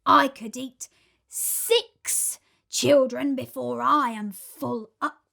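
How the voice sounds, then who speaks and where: A woman's voice: sits high, steady tone, extremely slow, vocal fry, bright, forceful tone, exaggerated voice. A woman voicing a sneaky, hungry male crocodile in a storybook, relishing each word. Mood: hungry scheming.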